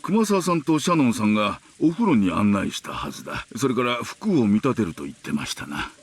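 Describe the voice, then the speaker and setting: An elderly physician in a visual novel game, reassuring and composed. His voice is raspy, with expressive pitch and a brisk flow. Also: full character voice. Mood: matter-of-fact. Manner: measured, reassuring. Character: calm, reassuring elderly family doctor.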